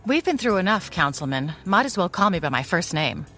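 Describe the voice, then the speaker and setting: An actress playing a capable, caring mother and ally in a pulp audio drama, calm, reassuring and matter-of-fact. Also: fairly low pitch, brisk, soft background music, heavily acted.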